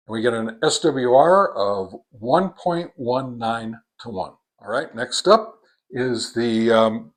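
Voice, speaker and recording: A man's voice: mid-range voice, fairly bright tone. Plainspoken man reviewing radio gear. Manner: plainspoken, many "uh"s and "all right?" tags.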